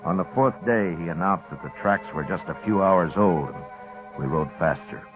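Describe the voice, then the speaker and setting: A steady, laconic American marshal in a vintage radio western. His voice is low and weary with authority, on a dull old recording with music behind it. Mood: grave narrating. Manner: measured, laconic. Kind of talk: 1950s radio western acting and narration.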